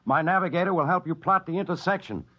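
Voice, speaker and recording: A man's voice: high-pitched, fast, lightly acted. A man acting a responsible, strained captain of a crippled spaceship in a 1950s-style radio drama. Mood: urgent. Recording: muffled, noisy old-time radio broadcast.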